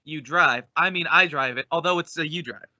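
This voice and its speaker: A man's voice: a little high, fairly quick, sharp tone, dry recording, highs cut off. A man playing the bossy straight man of an old-time radio comedy duo, explaining and scolding in exasperation. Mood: exasperated.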